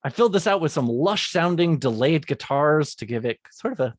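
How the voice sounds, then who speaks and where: A man's voice: fairly high pitch, lively intonation, a little fast, dry recording, clean studio sound. A man, a music composer, explaining how he built his theme piece, partly reading and correcting himself as he goes. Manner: restarts and corrects his script lines.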